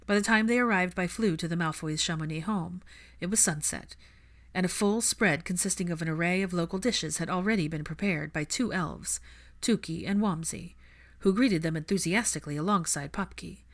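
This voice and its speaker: A woman's voice: fairly low pitch, moderate pace. A woman reading fan fiction aloud as a scripted story narration.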